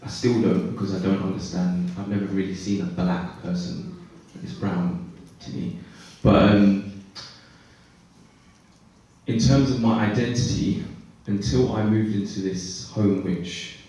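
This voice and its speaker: A man on a conference panel telling his own story, reflective and pausing mid-thought. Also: sits low, steady tone, warm tone. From Britain. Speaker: panelist, care leaver. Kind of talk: personal testimony on a panel. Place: conference hall. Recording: echoey hall, voice far from mic.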